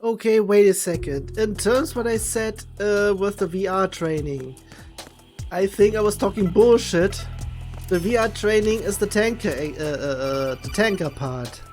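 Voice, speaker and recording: Halting male game streamer over music. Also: high voice, a little mellow. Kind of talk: casual gameplay commentary.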